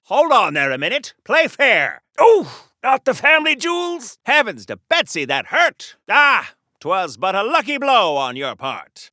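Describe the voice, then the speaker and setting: A man's voice: high-pitched, pushed and bright, no room sound, studio quality, full character voice. An actor playing a pompous, boastful masked superhero swordsman in a video game, in the theatrical, flowery manner of a 1940s radio serial hero. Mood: indignant, pained. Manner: grandiloquent, melodramatic, arch, with flowery archaic phrasing.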